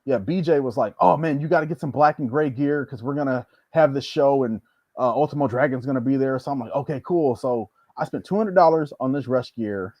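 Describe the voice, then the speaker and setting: A man's voice: medium pitch, fairly quick, a little mellow, dry recording, lo-fi sound. A man telling anecdotes in a casual, conversational way as a guest on a show. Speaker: guest, former wrestler.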